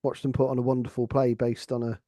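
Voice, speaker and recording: A middle-aged man with an English accent, a researcher talking earnestly and haltingly, repeating words as he reflects. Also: mid-range voice, flat delivery, dark tone, no room sound, studio quality. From Lincolnshire, England. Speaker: academic researcher and co-host. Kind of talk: reflective conversational discussion.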